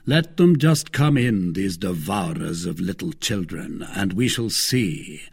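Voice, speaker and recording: A man voicing a calm, jeering, tipsy musketeer nobleman in an adventure audiobook, with deliberate, drawn-out words. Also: sits low, vocal fry, breathy edge, exaggerated voice. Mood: taunting. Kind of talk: dramatised classic adventure audiobook.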